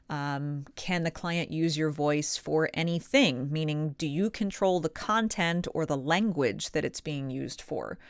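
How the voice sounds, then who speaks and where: Clear, low-pitched woman giving instructional advice. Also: no echo, studio quality. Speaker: voice-over coach and presenter. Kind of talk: instructional advice talk to camera.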